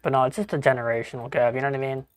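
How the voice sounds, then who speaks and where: A man's voice: medium pitch, steady tone, racing, clean tone, no echo, faint music behind. A man in his thirties chatting casually with viewers while playing a game on a live stream, rambling and swearing, often calling people bro and dude. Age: 30s.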